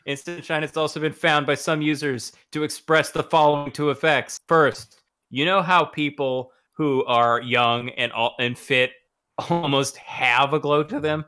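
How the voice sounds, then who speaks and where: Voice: medium pitch, steady tone, a little slow, bright, forceful tone, smooth. A podcast co-host reading absurd marketing copy aloud, with joking asides.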